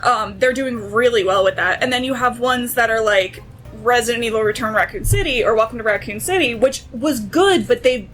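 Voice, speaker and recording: A woman's voice: fairly high pitch, brisk, a bit bright, soft background music. A woman talking casually as a panelist in a group chat.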